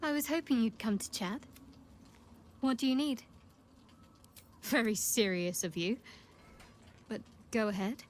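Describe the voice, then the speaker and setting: Higher-voiced, guarded adventuring companion. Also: female voice, animated, measured pace, long pauses, slight background noise. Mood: teasing, welcoming. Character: guarded but fond female adventuring companion. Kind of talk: video game character acting.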